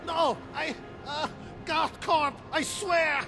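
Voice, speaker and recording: Cowardly game informant, very high-pitched and gravelly, stammering and pleading in panic. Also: male voice, fairly even intonation, slow, shouting, music in the background, heavily acted. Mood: panicked. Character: panicky male criminal informant, cowardly and evasive. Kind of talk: video game voice acting.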